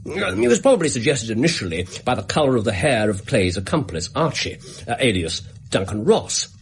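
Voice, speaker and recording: An actor playing a brilliant, bored English gentleman detective in a British radio drama, theatrical, precise and self-assured. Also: medium pitch, sing-song, brisk, creaky, slightly rough, full character voice. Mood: animated, explaining.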